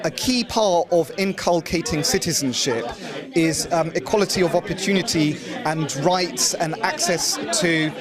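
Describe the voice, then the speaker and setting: A man's voice: a little high, moderate pace, a crowd in the background. A man at a debate arguing his point articulately, with frequent fillers. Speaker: debate attendee. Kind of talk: spoken argument in interview.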